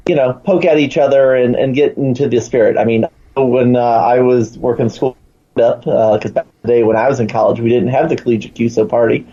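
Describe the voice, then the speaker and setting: A man with a soft-edged, mellow voice chats casually as a guest. He is playful and joking, and the recording is a bit dull and lo-fi. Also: mid-range voice. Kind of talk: casual chat.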